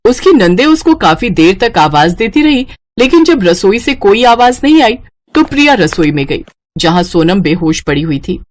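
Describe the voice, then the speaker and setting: A woman's voice: medium pitch, very animated, moderate pace. A woman narrating a scripted moral family story.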